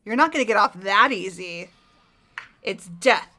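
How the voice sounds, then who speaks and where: A woman's voice: medium pitch, lively intonation, unhurried, sharp tone. A woman voicing a hot-tempered young woman who speaks bluntly and emotionally. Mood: furious. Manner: emotional, outspoken.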